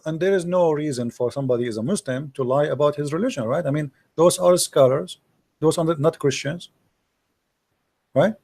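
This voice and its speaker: Man with a mellow voice, explaining. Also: fairly high pitch, fairly quick, creaky. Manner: questioning, explains patiently, reads passages aloud. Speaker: debate host. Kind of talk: live call-in debate, reading texts aloud.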